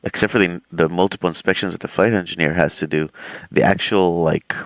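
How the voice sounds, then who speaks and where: Low gravelly man, laid-back streamer. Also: brisk, no room sound. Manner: relaxed, rambling, many fillers. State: throat slightly swollen. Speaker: flight sim streamer, airline pilot. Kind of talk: casual live-stream commentary while doing checks.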